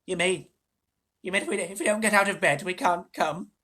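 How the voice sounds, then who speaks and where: A man voicing a cranky, demanding bedridden old grandmother in a storybook, complaining in a high voice. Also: brisk, bright, forceful tone. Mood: dismayed.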